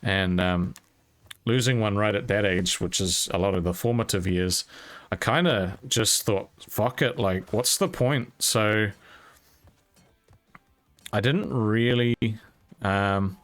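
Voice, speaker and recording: Young adult male, lowish pitch, candid off-the-cuff gamer storyteller, very dry recording. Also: slow pace, long pauses, fairly bright tone, no room sound, faint music behind. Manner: candid, informal, swears casually. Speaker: YouTube content creator. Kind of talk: casual rambling storytelling over gameplay.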